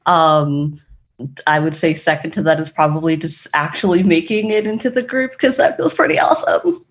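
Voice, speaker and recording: A player voicing an awed, sincere young pop group newcomer in a tabletop game, speaking haltingly. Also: mid-range voice, natural voice. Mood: awed, happy. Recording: dull, muffled microphone.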